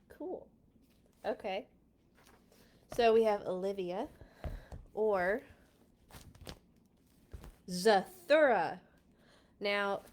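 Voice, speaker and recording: Female children's read-aloud host: unhurried, expressive, playful, informal. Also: medium pitch. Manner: dramatic, playful sound effects. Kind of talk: expressive storybook reading with casual asides.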